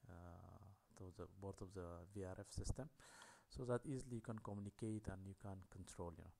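Male technical lecturer, low dark voice, halting delivery with fillers, faint hiss behind. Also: gravelly. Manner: halting, many fillers and restarts. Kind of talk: technical lecture over slides. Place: voice-over for slides.

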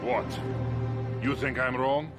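An actor playing a stern, authoritative Soviet army colonel in a dramatic war game cutscene. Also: male voice, medium pitch, fairly even intonation, slow, over loud music, lightly acted. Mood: threatening.

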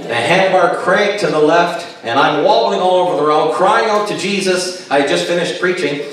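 Canadian guy in his 50s preaching, folksy n jokey, kinda high voice, super echoey chapel, far from mic. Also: normal speed, fairly bright tone. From Kitchener, Ontario, Canada. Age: late 50s. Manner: folksy, joking. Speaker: pastor. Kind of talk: sermon with personal testimony, anecdotal. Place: chapel, on stage at a pulpit. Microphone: stand mic over speakers, recorded by far camera. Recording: very echoey chapel, distant camera pickup.

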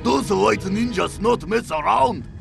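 Boastful, cowardly ninja guard for a game: Japanese accent, extremely gravelly, slow and exaggerated. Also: male voice, sits high, lively intonation, fairly bright tone, faint music behind, heavily acted. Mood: alarmed. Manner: broken English, exaggerated delivery. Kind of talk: video game cutscene acting.

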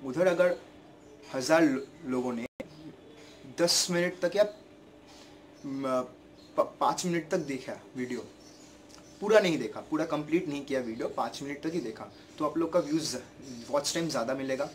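A man's voice: fairly high pitch, moderate pace, pauses often, a little hiss or hum. A young man with a sore throat and a repetitive, earnest manner, giving tips to camera from his bedroom in a casual vlog. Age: young adult. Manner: earnest, repetitive, gestures a lot with his hands. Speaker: YouTuber giving channel-growth tips. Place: bedroom at home, indoors. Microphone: camera in front at desk distance.

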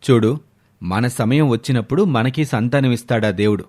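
Man voicing a level-headed husband, mildly exasperated, chiding, medium pitch, story drama. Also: moderate pace, lightly acted. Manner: reasoning, gently chiding.

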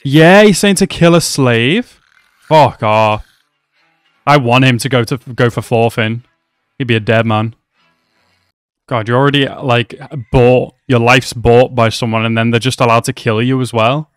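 A young man talks through an anime episode from a small room at home, wearing a headset. His voice sits at an ordinary pitch, and the sound is close and dry. He chats loosely, swears freely and keeps calling the characters bro. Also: unhurried, smooth, no room sound, soft background music. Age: young adult. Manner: chatty, swears a lot, calls characters bro. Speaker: anime reaction host. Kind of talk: casual reaction commentary. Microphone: headset mic.

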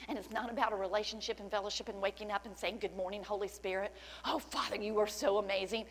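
A middle-aged woman pastor preaching a sermon with fervent praise and scripture reading, her voice slightly cracking from loudness. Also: mid-range voice, fairly even intonation, fast. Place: large church stage indoors. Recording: long hall reverb, faint hum.

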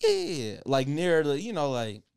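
A man with a fairly high, smooth voice co-hosts a podcast with slangy, joking stories. He often laughs through his words, and the sound is close and dry. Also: wildly swooping pitch, moderate pace, a little mellow, no room sound. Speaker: podcast co-host. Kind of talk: casual comedic storytelling and banter. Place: small indoor podcast room, seated. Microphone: boom-arm studio microphone.

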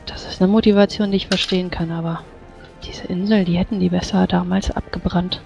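A woman's voice: fairly low pitch, fairly even intonation, a little fast, creaky, mellow. A woman playing a brusque, pragmatic member of an order in a tabletop roleplay, blunt and matter-of-fact. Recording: slightly dull voice-chat sound, music behind.

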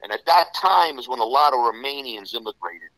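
A man's voice: mid-range voice, lively intonation, fairly quick, sharp tone, no room sound, rough recording. A middle-aged man with a New York Italian-American accent, telling stories as an interview guest in a loose, rambling way, packed with "you know" fillers. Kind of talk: casual storytelling interview.

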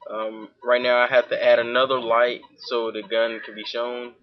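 A young man from Georgia with a Southern accent, talking casually through a software tutorial at his home computer, full of fillers. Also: medium pitch, little pitch movement, moderate pace, dull audio. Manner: informal, many fillers, talks while clicking. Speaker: tutorial host.

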